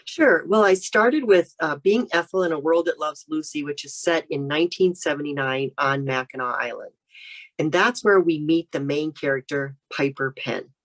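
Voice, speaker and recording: Low-voiced woman author explaining her books. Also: normal speed. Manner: steady, explaining step by step. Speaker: author guest. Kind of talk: casual interview chat.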